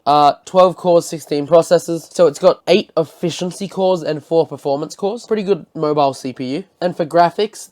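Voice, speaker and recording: Young aussie guy talking tech, bit of a cold, voice sits kinda high. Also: darkish tone. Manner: conversational, rattles off specs. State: has a cold. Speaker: tech reviewer. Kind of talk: casual tech review.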